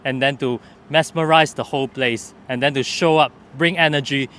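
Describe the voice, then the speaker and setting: A man's voice: medium pitch, steady tone, moderate pace, pushed and bright, slight background noise. A man from Singapore introducing himself in an earnest, fervent, rehearsed way. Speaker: fan club member.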